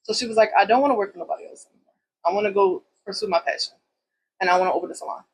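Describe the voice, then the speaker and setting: A woman's voice: medium pitch, rapid-fire, a little hiss or hum, poor audio. A woman in her thirties from Puerto Rico, a salon owner telling her story in a loose, chatty interview style with plenty of fillers.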